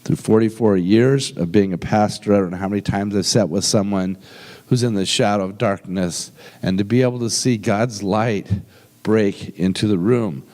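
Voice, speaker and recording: A man in his sixties preaching a sermon as pastor, his voice deepened and roughened by a cold, folksy and humorous, slowing down when he reads scripture. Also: a little mellow. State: has a cold, deep rough voice. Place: church sanctuary with long reverb. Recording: reverberant hall sound.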